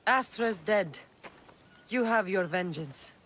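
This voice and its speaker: A woman's voice: mid-range voice, animated, slow pace, a bit bright, slight background noise. An actress playing a tough, blunt but caring female mercenary in a video game. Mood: resolute. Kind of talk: video game dramatic acting. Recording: game music underneath. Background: background music.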